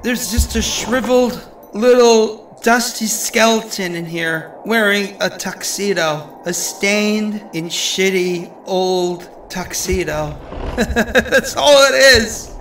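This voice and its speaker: Man playing an anxious, high-voiced, halting man. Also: a bit bright, a little hiss or hum, exaggerated voice, rough recording. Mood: bitter disbelief. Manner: halting, nervous, conversational. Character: anxious, self-doubting ordinary man facing supernatural horror. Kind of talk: horror audio drama, recorded-message monologue.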